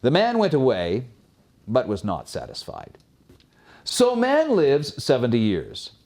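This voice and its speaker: A male storyteller reads an old folk tale in a formal, scripted style. His mid-pitched voice is highly animated, rising and falling widely. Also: takes it slowly. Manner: expressive, formal. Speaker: storyteller, host.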